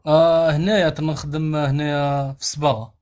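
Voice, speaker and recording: A man's voice: fairly high pitch, flat delivery, fairly quick, a little mellow. A Moroccan man in his thirties on a phone video call into a matchmaking stream, giving brief, hesitant replies. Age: 30s. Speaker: suitor caller. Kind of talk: live-stream chat.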